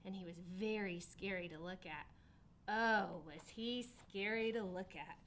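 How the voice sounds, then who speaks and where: A woman's voice: medium pitch, unhurried, a bit bright, natural voice. A woman voicing a playful, evasive young boy in a storybook, coy in his answers and relishing suspense. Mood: spooky, suspenseful.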